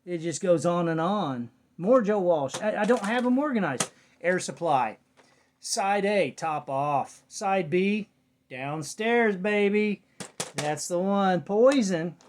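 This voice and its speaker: A middle-aged American man with a fairly high voice talks off the cuff in a folksy, rambling way. He mutters to himself as he goes, in a home recording with some background noise and clicks. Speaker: vlogger showing a cassette collection. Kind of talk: casual unscripted chat.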